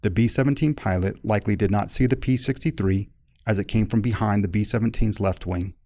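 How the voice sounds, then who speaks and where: A man narrating a formal accident report from a script in a measured, impersonal, factual manner, recorded close as a voice-over. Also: lowish voice, dark tone, no echo.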